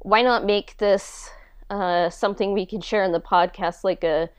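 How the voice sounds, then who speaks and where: A woman's voice: mid-range voice, fairly even intonation, moderate pace, clean tone, no room sound. A woman speaking in a casual interview, informal and hesitant, with a self-deprecating manner. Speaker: podcast host and audio drama writer being interviewed.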